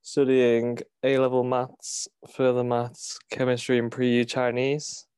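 A boy in his late teens answers interview questions in a measured, casual way. His voice is smooth and clear. The sound is dry and close. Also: mid-range voice, monotone, darkish tone, no echo. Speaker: student interviewee.

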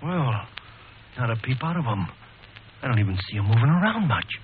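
An actor playing a sardonic, slangy sidekick to a hero captain in a 1940s radio serial. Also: male voice, medium pitch, sing-song, fairly quick, close to an everyday voice. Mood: sardonic, wary. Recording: tinny, hissy old radio broadcast.

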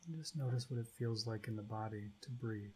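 A man leading a guided meditation as its guide, speaking in short, spacious phrases with long pauses between them. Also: on the low side, mellow, slight background noise.

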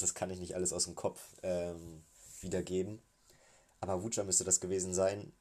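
A man with a cold giving a casual, hesitant recap of a football match as a fan, often pausing and thinking aloud. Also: low voice, warm tone. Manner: hesitant, many filler sounds, thinking aloud. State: has a cold.

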